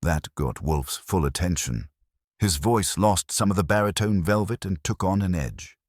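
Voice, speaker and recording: A man's voice: low voice, expressive, moderate pace, no room sound, studio quality. A man narrating a first-person detective story in a wry, hard-boiled way. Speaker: audiobook narrator.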